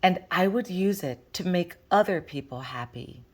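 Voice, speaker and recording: A woman reading a picture book aloud to children as a storyteller, with deliberate, expressive phrasing. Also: fairly low pitch.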